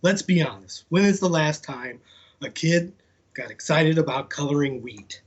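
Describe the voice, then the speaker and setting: A man voicing a proud, aggrieved beige crayon from a storybook, complaining slowly and deliberately. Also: fairly high pitch, expressive, breathy edge, dull audio.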